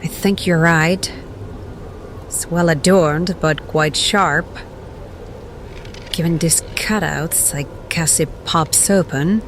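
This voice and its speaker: A woman playing a scholarly adventurer in an audio drama, measured and analytical in manner. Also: sits low, slight background noise.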